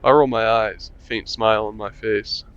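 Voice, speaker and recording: A man reading a visual novel aloud as a gamer, voicing narration and the player in a relaxed, wry way and muttering while he plays. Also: fairly low pitch, normal speed, smooth, no echo. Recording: lo-fi mic with faint music.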